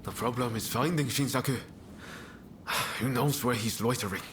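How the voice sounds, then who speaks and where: Earnest, mid-pitched male comrade acted by a man. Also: moderate pace, noisy background, natural voice. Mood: serious, urgent. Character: earnest, take-charge rebel comrade in samurai-era Japan. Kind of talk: dramatic video game voice acting.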